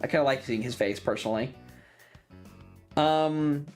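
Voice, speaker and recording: Mid-pitched voice, casual streamer, rambling fan chat, enthusiastic, colloquial, music in the background. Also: unhurried. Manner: chatty, enthusiastic fan talk with colloquial swearing. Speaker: streamer and content creator.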